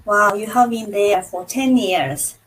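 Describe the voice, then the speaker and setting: A woman hosting an interview over a video call, asking her questions slowly, carefully and politely. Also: medium pitch, low-quality recording. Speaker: interview host. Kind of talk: casual interview chat.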